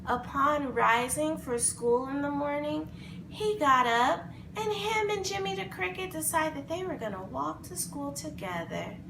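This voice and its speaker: A woman telling a children's fairy tale in an expressive, dramatic, improvised way. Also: high voice, a little slow, creaky. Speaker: storyteller. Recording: roomy echo, faint background noise.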